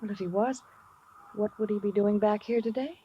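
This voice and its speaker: A woman's voice: mid-range voice, steady tone, brisk, lo-fi sound, slight background noise, close to an everyday voice. A woman playing an earnest young woman, the host's daughter, in a 1950s radio drama. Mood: lively.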